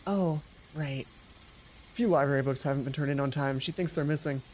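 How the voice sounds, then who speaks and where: A woman voicing a sheepish, easygoing teenage boy in an anime dating game, gentle and reassuring. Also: low voice, moderate pace, a little hiss or hum, pitched below the performer's own voice, close to an everyday voice.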